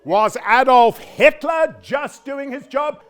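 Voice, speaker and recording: A man's voice: extremely high pitch, a bit bright, pitched above the performer's own voice, exaggerated voice. A man voicing an upset, idealistic grown daughter who pleads and accuses emphatically in a stage monologue. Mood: accusing. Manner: relentless, emphatic, drawn-out. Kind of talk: quoted dialogue in theatrical monologue.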